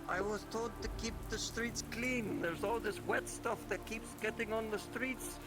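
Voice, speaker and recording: A man's voice: high voice, fairly even intonation, measured pace. A man voicing a dim, apologetic street sweeper with a stammering, hesitant delivery in an improvised roleplay. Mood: flustered, apologetic. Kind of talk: improvised virtual reality roleplay. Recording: music over the voice.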